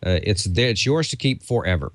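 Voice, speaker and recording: A man's voice: on the low side, animated, a little fast, a bit bright, no room sound. A man co-hosting a show, giving a casual, conversational sponsor read that rambles a little. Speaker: show co-host.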